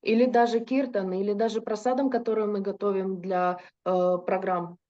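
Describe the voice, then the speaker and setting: A woman's voice: medium pitch, fairly even intonation, moderate pace, muffled recording. A woman interpreting a religious lecture into Russian, fluent but with occasional hesitations and self-corrections.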